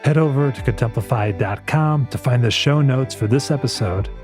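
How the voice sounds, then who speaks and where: A man's voice: mid-range voice, moderate pace, a little mellow, faint music behind. A man hosting a podcast interview in a conversational, earnest and appreciative way. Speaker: podcast host.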